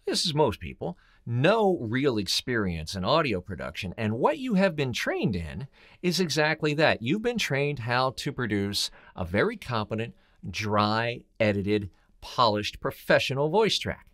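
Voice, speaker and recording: A middle-aged man giving scripted instructional advice as a voiceover coach, emphatic, with rhetorical questions and deliberate pauses. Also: mid-range voice, sing-song, a bit bright. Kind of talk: scripted instructional talk to camera.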